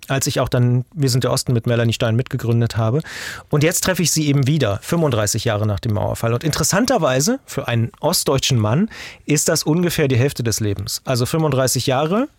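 A man's voice: medium pitch, dry recording. Thoughtful German man, dry close sound. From eastern Germany. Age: 40s. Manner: thoughtful, talks in long chains with restarts and tag questions. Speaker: journalist and podcast maker. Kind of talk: interview guest, reflective conversational talk.

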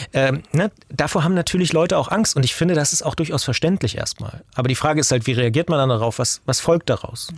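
A man's voice: medium pitch, no echo. Thoughtful German man, dry close sound. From eastern Germany. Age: 40s. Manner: thoughtful, talks in long chains with restarts and tag questions. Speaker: journalist and podcast maker. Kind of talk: interview guest, reflective conversational talk.

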